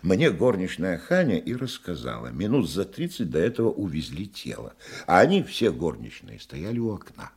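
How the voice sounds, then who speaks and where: Chatty, husky provincial gentleman. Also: sits low, huge pitch swings, normal speed, heavily acted. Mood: earnestly explaining. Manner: chatty, exclamatory, slightly pompous. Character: talkative, cultured provincial male hotel guest, eagerly agreeable. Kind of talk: Russian radio play acting.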